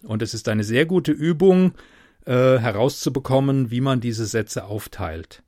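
A man from the Mannheim area with a softened Palatine German accent, hosting a casual podcast and reading a literary anecdote aloud. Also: medium pitch, expressive, dry recording, studio quality. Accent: Palatine German, softened by speech training. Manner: chatty, unhurried, reads with dramatic emphasis. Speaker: podcast host and reader.

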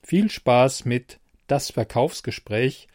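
A man's voice: mid-range voice, lively intonation, takes it slowly, darkish tone, no echo. Male podcast host, dry close sound. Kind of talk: podcast introduction, narration.

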